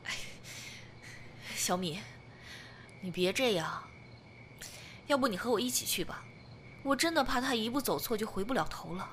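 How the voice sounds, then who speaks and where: A woman playing a steady, protective young partner with a firm temper, speaking earnestly in a modern Chinese audio drama. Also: fairly high pitch, moderate pace, pauses often, slight background noise. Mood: worried.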